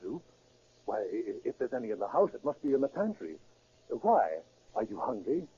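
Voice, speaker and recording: A man's voice: medium pitch, very animated, moderate pace, dark tone, husky. A man playing a genteel retired clergyman hiding a criminal life, polite and hesitant, in a 1930s radio drama. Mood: feigned innocence. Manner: polite, hesitant, feigning innocence. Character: genteel retired clergyman who is secretly a robber. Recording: dull vintage radio recording.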